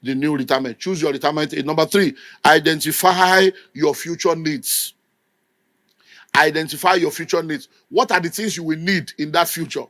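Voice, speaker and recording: A man's voice: on the high side, moderate pace, pushed and bright, no echo, clean studio sound. A middle-aged Nigerian man preaching a sermon with an emphatic, scolding delivery. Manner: emphatic, scolding, mimics people in quotes. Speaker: pastor.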